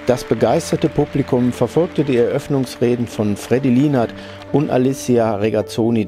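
A man reading a scripted report voice-over in an even, measured way. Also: medium pitch. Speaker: narrator. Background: background music.

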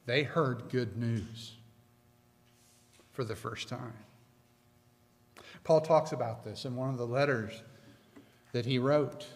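Slow, emphatic middle-aged male preacher. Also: medium pitch. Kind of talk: sermon. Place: church sanctuary, at a pulpit. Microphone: pulpit microphone. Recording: long church reverb.

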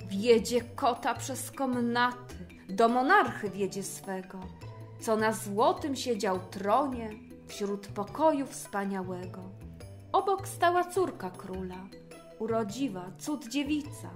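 A woman narrating a rhymed fairy tale for children in an expressive storytelling manner. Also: fairly high pitch, slow, soft background music.

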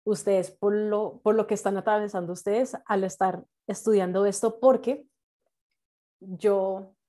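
Mellow Colombian woman teaching, native English speaker. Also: medium pitch, steady tone. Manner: encouraging, halting with frequent check-in questions. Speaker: medical English teacher and nurse. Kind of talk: informal online class introduction. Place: indoors, on a video call. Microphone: video call microphone.

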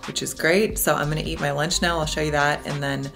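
Woman vlogger, low voice, chatty and upbeat, camera at arm's length, light music behind. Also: steady tone, fairly quick, gravelly, a bit bright. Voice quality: deep morning voice. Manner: chatty, upbeat, addresses viewers directly. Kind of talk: casual vlog narration. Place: car, office, outdoors. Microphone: handheld camera at arm's length.

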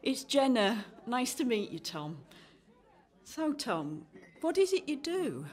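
A chatty, self-deprecating woman in her thirties played by an actress in a radio comedy play. She is awkward and eager, with a lively, swooping pitch and an unhurried pace. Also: heavily acted. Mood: awkwardly cheerful. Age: 30s. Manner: awkward, eager, nervously giggly. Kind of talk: radio play acting, conversational.

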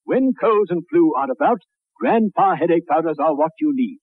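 A man's voice: fairly high pitch, expressive, normal speed, husky. A man reading a 1960s radio advertisement as a commercial announcer. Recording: muffled, narrow old radio recording.

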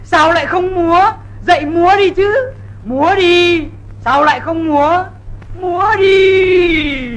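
A man's voice: very high-pitched, slow pace, a bit bright, a little hiss or hum, exaggerated voice, poor audio. A man voicing a cowardly, greedy gambler and showman in an old cartoon folktale, theatrical and whining. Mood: frantic. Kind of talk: old animated folktale film dub.

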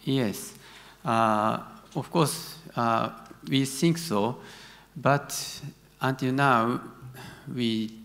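A middle-aged man with a Japanese accent, a native Japanese speaker answering conference questions haltingly, searching for words. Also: mid-range voice, a bit bright. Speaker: conference presenter, university academic. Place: large conference hall stage. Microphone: gooseneck podium microphone. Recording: reverberant large auditorium.

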